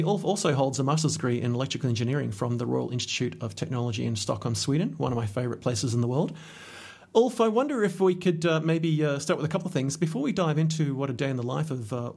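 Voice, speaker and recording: A man's voice: medium pitch, darkish tone, breathy edge. A man hosting an interview podcast, chatty and enthusiastic, with plenty of fillers as he introduces and questions his guest. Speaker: podcast host. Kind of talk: casual interview chat.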